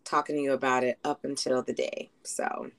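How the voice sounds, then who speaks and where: A woman co-hosting a casual podcast, hoarse from a recent illness, chatting with lots of "um"s and "you know"s. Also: a bass voice, level tone, normal speed, no echo. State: hoarse from being sick. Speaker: podcast co-host.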